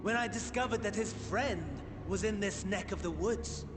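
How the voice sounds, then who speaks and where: An actor playing a suave, mocking villain sorcerer in a video game, theatrical and smugly polite. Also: male voice, high voice, normal speed, music in the background, close to an everyday voice. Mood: smug gloating. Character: suave, mocking male villain sorcerer, gloating over a captive. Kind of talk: video game cutscene acting.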